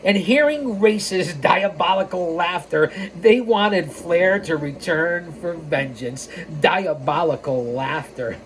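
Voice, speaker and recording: A woman's voice: on the low side, slow, few pauses. A woman hosting a story time, reading a scripted history aloud with casual, playful asides. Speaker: storytime host and narrator. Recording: clearly noisy background.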